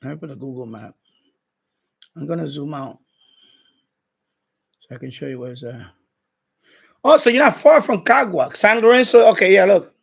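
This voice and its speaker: Middle aged guy from puerto rico, kinda high voice w some gravel, just rambling on a stream. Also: normal speed, long pauses. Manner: rambling, repeats himself. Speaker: live streamer, radio hobbyist. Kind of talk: casual livestream chat.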